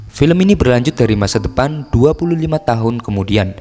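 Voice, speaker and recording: A man's voice: mid-range voice, animated, a little fast. A man casually narrates a film plot from a script as a recap host. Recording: long reverb tail with music underneath. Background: background music.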